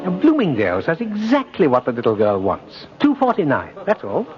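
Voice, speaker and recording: An actor playing a kindly, principled old department-store Santa Claus in a 1950s radio drama, gently insistent and old-fashioned in manner. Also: male voice, a little high, wildly swooping pitch, a little fast, loud music behind the voice. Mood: kindly, assured. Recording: dull, noisy old radio recording.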